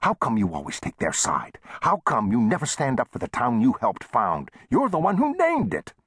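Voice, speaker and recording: A man's voice: sing-song, moderate pace, breathy edge, dry recording, studio quality, full character voice. Man playing a touchy, indignant small-town storekeeper. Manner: heated, complaining, raised pitch.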